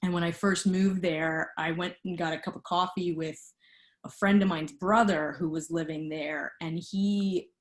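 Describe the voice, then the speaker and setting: A woman's voice: on the low side, steady tone, moderate pace. A woman in her thirties speaking reflectively as an interview guest, with frequent fillers. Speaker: guest, lighting designer. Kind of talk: conversational interview.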